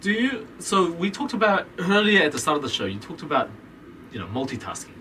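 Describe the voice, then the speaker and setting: A man's voice: on the high side, sing-song, a little fast, pushed and bright. A man from New Zealand with a New Zealand accent, a podcast guest in casual, rambling chat who laughs easily. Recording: distant mic, faint background noise.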